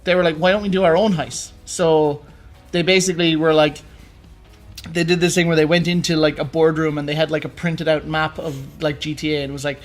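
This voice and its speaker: Male co-host, slightly high voice, informal enthusiastic storyteller in relaxed conversation, faint music underneath. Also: a little fast. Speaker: podcast co-host. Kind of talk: casual chat about games.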